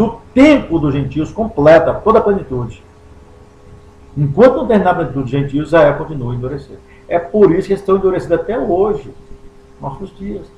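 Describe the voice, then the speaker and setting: A Brazilian pastor reading scripture aloud and explaining it verse by verse, stressing key words and stretching some out slowly. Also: male voice, fairly high pitch, darkish tone. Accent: Brazilian Portuguese. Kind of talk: Bible study sermon. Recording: echoey room.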